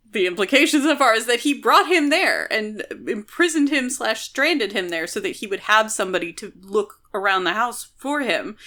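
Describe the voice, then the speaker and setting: A woman with a bright, forward voice talks as a podcast co-host about fiction. She is analytical and casual, building her points at length with small asides along the way. Her pitch sits in an ordinary middle range. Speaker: podcast co-host discussing a novel. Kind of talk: casual analytical book discussion.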